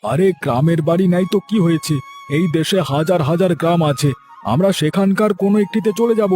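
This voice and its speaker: Man acting a hearty, higher-pitched cartoon father. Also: fast, warm tone, soft background music, lightly acted. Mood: cheerful, reassuring. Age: adult. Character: genial, indulgent husband, businessman and father.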